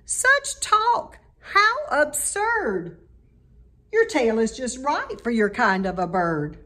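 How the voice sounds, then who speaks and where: A woman's voice: fairly high pitch, very animated, slow, sharp tone, full character voice. A woman voicing a fussy, disapproving uncle bird who is a doctor in a children's storybook, scolding in exclamations. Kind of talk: children's storybook read-aloud.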